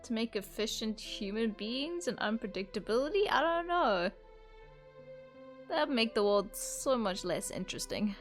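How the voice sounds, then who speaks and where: A woman's voice: a little high, big pitch swings, a little slow, faint music behind. A young woman streamer giving casual, chatty commentary on a game, giggling and filling her talk with "like". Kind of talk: casual let's-play commentary.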